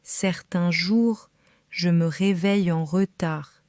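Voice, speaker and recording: Female reader for beginner lessons, calm and careful, flat even delivery, dry close sound. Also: lowish voice, slow pace, no echo. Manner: calm, careful, clearly spaced phrases. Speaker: French reader for learners. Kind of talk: slow scripted reading for beginners.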